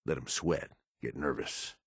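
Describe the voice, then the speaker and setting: A middle-aged man with an American accent playing a hard-bitten, cynical raider lieutenant in a video game, with a gruff, streetwise, sardonic delivery. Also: rumbling low, unhurried, a bit bright, no room sound, studio quality, heavily acted. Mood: cold, calculating. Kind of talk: in-game character dialogue.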